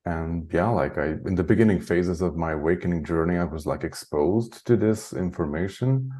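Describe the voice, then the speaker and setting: Deep-voiced man, rambling. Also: normal speed, dark tone. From Hungary. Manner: rambling, heavy use of "like", frequent chuckles. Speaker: guest, spiritual channeler. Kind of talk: casual interview chat.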